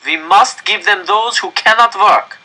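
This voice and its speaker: A man voicing a desperate male council director in a story, addressing an angry crowd with loud, pleading oratory. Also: sits high, a little slow, voice pushed up, heavily acted, rough recording. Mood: grave, insistent.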